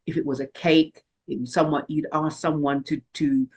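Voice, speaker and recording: A woman's voice: deep voice, steady tone, normal speed, darkish tone. Female moderator from the Caribbean, conversational and thinking aloud, heard over a video call. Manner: thoughtful, with frequent restarts and repeated words. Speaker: moderator of an online discussion. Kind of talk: casual online group discussion.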